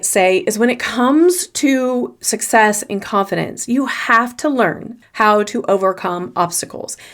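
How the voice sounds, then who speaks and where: A woman with a mid-pitched voice and a faint Southern US accent talks straight to her listeners on a motivational podcast. She coaches in an earnest, encouraging way and drives her points home by repeating key phrases. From Southern United States. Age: young adult or older. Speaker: podcast host and confidence coach. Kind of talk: motivational solo podcast talk.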